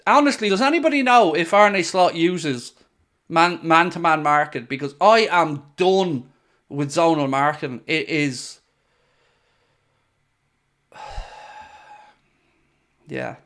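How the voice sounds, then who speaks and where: A man's voice: fairly high pitch, slow, long pauses, fairly bright tone. A man hosting a live football watchalong, ranting in exasperated, sarcastic reaction to his team's play. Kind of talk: live match commentary and reaction.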